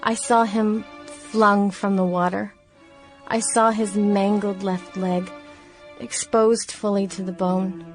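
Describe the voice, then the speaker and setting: An actress playing a grieving young woman in a radio play, reading her diary in a solemn, sorrowful, measured way. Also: medium pitch, little pitch movement, close to an everyday voice. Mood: anguished, bitter. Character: grieving young woman writing diary after brother's death. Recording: music bed under the voice.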